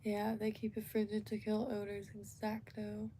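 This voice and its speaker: A woman's voice: medium pitch, completely flat delivery, normal speed, warm tone, slight background noise. A woman from Florida, chatting casually and rambling on a live stream with lots of fillers and restarts.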